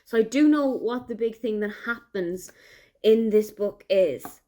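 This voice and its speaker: A woman's voice: fairly high pitch, unhurried, mellow. Chatty Irish woman vlogging about books. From Ireland. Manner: chatty, enthusiastic, thinking aloud. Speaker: book vlogger. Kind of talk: casual vlog diary.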